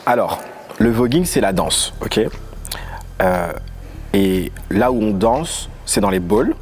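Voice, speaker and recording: A young man from Paris, a dance guest in a casual interview who talks in a lively, playful way with quick asides. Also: fairly low pitch, slight background noise. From Paris, of Congolese origin. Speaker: guest, voguing dancer and house leader. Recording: faint music underneath.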